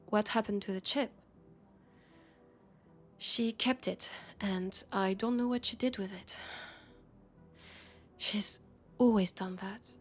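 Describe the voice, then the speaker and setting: A woman with a French accent playing a weary, guarded policewoman confiding quietly and hesitantly in an intimate sci-fi audio roleplay. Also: mid-range voice, fairly even intonation, takes it slowly, long pauses, soft background music, lightly acted. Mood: uneasy, confiding.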